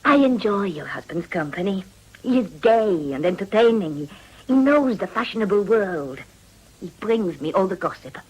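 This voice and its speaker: Husky, worldly middle-aged madam. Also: female voice, medium pitch, animated, rough recording. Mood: sly, admiring. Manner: unhurried, suave, courteous. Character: worldly, discreet older madam of a brothel. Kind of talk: period radio drama acting.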